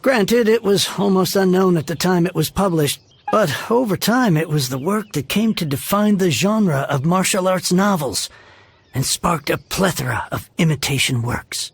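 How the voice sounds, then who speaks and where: An actor playing a grouchy, dramatic older book collector in a video game, husky and theatrical. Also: male voice, high-pitched, lively intonation, moderate pace, exaggerated voice. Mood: nostalgic, reverent. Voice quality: husky, creaky. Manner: theatrical, blustering, exasperated. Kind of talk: English video game voice acting.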